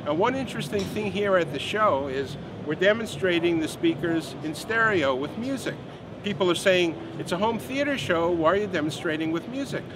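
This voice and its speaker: Higher-pitched older man, noisy show floor. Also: lively intonation, moderate pace. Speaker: company president, product designer being interviewed. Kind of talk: unscripted product explanation in an interview. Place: busy indoor exhibition booth.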